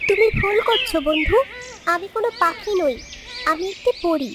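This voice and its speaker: Woman voicing a very high-pitched little fairy bird. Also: expressive, moderate pace, a bit bright, a little hiss or hum. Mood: sorrowful, earnest. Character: captive young fairy trapped as a bird, earnest, helpful. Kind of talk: children's fairy tale cartoon voice acting.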